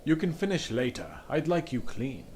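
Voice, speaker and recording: A man playing an arrogant, commanding duke who speaks in a deliberate, imperious way in an audio drama. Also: fairly high pitch, big pitch swings, darkish tone, slight background noise. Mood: insistent. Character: arrogant, womanizing nobleman duke, curt and commanding.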